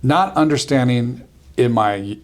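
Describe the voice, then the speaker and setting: A man in his fifties, an insurance agency owner, talking in a casual, rambling way with many pauses and ums as a podcast guest. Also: mid-range voice. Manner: easygoing, rambling, frequent ums.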